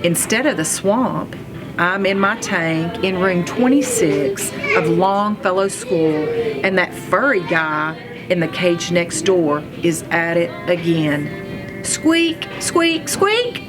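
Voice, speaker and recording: A woman reading a children's story aloud in an expressive, playful manner. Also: on the low side, slow, music in the background. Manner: expressive, playful, acts out sounds and exclamations. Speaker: read-aloud reader, school superintendent.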